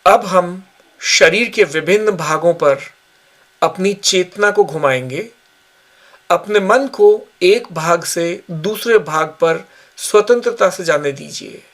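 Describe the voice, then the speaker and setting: A man giving guided yoga nidra meditation instructions in measured short phrases with pauses. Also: sits high, fairly bright tone.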